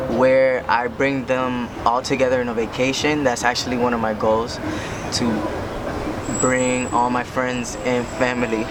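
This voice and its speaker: A man speaking earnestly in a street interview about his family. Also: medium pitch. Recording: loud traffic noise behind.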